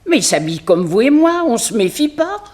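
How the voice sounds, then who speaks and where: An actress playing a plain-spoken, indignant, fearful housekeeper in a French radio drama from the 1960s. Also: female voice, on the high side, sing-song, brisk, darkish tone. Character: fearful, grumbling housekeeper, complaining about her job. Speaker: actor. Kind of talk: 1960s French radio comedy-mystery acting.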